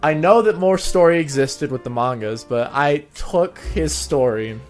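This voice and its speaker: A man's voice: on the high side, lively intonation, unhurried. A man hosting a casual interview, chatting in an agreeable, informal way. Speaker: show host and interviewer. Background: background music.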